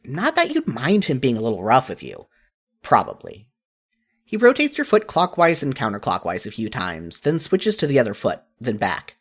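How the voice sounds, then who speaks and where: Expressive, lively storytelling narrator. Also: mid-range voice, moderate pace. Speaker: narrator of a fan fiction audio reading.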